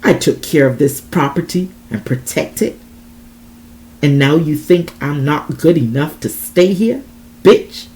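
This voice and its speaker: A woman's voice: low-pitched, lively intonation, unhurried, a little mellow, a little hiss or hum, rough recording. Female reader as sneering male villain, sardonic, bitterly aggressive, dramatic storybook delivery. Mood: menacing scorn. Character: sardonic, menacing male attacker sneering at his victim. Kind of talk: dramatic audiobook reading.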